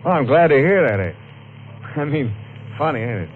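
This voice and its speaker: An American actor playing a haunted, nervous killer at the wheel in a 1950s radio thriller, with halting, muttering speech. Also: male voice, medium pitch, big pitch swings, lightly acted. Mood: nervous, afraid. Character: haunted, nervous, guilt-ridden male driver turned killer. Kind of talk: 1950s radio thriller acting, part narration. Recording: noisy, tinny 1950s radio recording.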